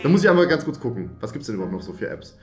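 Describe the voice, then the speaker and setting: A man's voice: medium pitch, sing-song, rapid-fire, darkish tone. A young man hosting a video, bantering casually and jokingly insisting on his answers to viewer questions. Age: young adult. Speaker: YouTube host. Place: furnished indoor room, seated at a table. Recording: roomy echo with music underneath.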